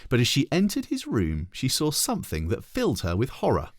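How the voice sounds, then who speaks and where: Very animated man reading a children's story. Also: medium pitch, brisk, no room sound, studio quality. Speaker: teacher reading aloud.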